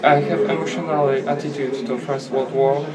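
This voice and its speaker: A man's voice: on the high side, fairly even intonation, moderate pace. A young man speaks hesitantly, with simple non-native phrasing, about an ancestor. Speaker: event participant. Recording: echoey, distant, crowd noise.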